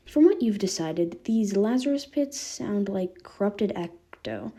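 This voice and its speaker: A woman's voice: medium pitch, lively intonation, measured pace, dark tone. A woman voicing a candid, irreverent teenage boy with ghostly powers in a fan fiction reading. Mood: explaining, teasing.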